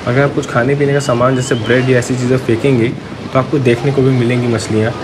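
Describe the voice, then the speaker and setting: A man's voice: medium pitch, flat delivery, quick pace. Man vlogging over train noise. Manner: friendly, addresses viewers as friends. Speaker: travel vlogger. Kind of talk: casual travel narration. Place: on a moving train. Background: train and wind noise.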